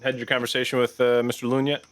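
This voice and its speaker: A man speaks as a player in a tabletop roleplaying game. His mid-pitched voice is halting and low-key, recorded with clear noise and clicks. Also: flat delivery.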